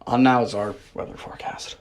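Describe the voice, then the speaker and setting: A man's voice: mid-range voice, steady tone, a little fast, natural voice. A man playing a morning news anchor, presenting a mock newscast.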